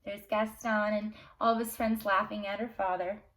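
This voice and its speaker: A woman's voice: medium pitch, normal speed, low-quality recording. A woman reading a fairy tale aloud to children expressively, with casual asides. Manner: expressive, dramatic storytelling. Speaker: storyteller, teacher.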